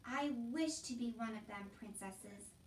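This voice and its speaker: A woman's voice: sits high, animated, normal speed, roomy sound, far from the mic, a little hiss or hum, low-quality recording. A woman voicing a naive seven-year-old peasant girl with simple, rustic speech, as in a storybook reading. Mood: shy, hopeful.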